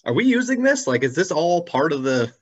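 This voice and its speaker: A man's voice: fairly high pitch, very animated, a little fast. A man telling casual, rambling anecdotes with self-deprecating humor as a guest on a video call. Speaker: guest, car enthusiast and YouTuber. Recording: slightly dull video call audio.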